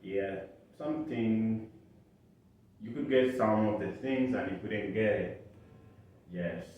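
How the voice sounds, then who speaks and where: A young man raised in Uganda, answering interview questions in a halting way with many pauses and restarts, recorded from a distant mic in an echoey room. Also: on the low side. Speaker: interview guest. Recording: echoey, distant, dull sound.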